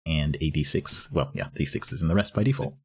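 A man's voice: extremely low pitch, fast, a little mellow, husky. A man playing a tabletop game, explaining his character's choices hesitantly and searching for words.

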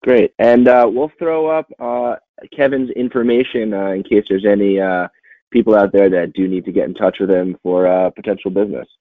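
A man's voice: on the low side, steady tone, moderate pace, no room sound. A man hosting a podcast, asking questions and closing the interview in a friendly, hesitant way. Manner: friendly, hesitant with many uh fillers. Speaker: podcast host. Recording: dry, tinny phone-like line.

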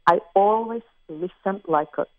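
A woman from Croatia with a Croatian accent, a musician talking thoughtfully and with pauses as an interview guest. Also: medium pitch, no room sound. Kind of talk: interview over the phone. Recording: tinny phone line, dry.

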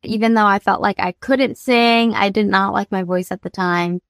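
A woman's voice: mid-range voice, steady tone, normal speed, dry recording, studio quality. A young woman from the United States, a singer-songwriter guest, telling her life story candidly and earnestly, laughing easily as she talks.

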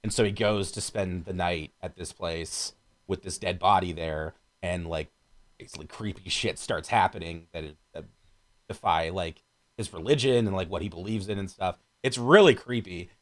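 Male podcast co-host, fairly deep voice, casual film talk, slangy, sweary and keen. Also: normal speed. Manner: profane, slangy, enthusiastic. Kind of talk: casual chat about films.

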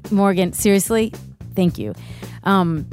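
A woman's voice: medium pitch, slow, a little mellow, soft background music. A woman hosting a podcast, asking questions in a conversational interviewing style.

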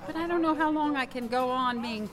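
A woman playing a touchy, quick-witted novice waitress in a comic radio play, with an indignant, sarcastic way of talking. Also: sits high, fairly bright tone. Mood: weary. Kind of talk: comic radio theatre acting. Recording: restaurant crowd noise behind.

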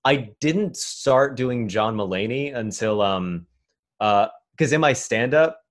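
A man's voice: medium pitch, animated, slow pace. Chatty young man telling stories. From New York City. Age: 20s. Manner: chatty, rambling, hedging with many fillers. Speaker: stand-up comedian guest. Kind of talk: casual conversational interview.

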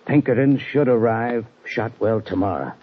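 A man's voice: fairly low pitch, moderate pace, lightly acted. An actor playing a ruthless male saloon-owner villain in a 1940s radio western, talking in a snarling, bossy way. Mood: scheming. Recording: dull old radio transcription with hiss.

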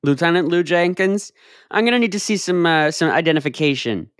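A young man in an improvised comedy scene, asking incredulous questions and jumping up in pitch when excited. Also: brisk, no room sound, studio quality. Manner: animated, incredulous questioning, jumps high in pitch.

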